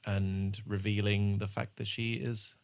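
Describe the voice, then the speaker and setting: A man with a fairly low voice hosts a podcast. He talks in a casual, conversational way as he discusses an episode. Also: little pitch movement, unhurried, creaky. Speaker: podcast host.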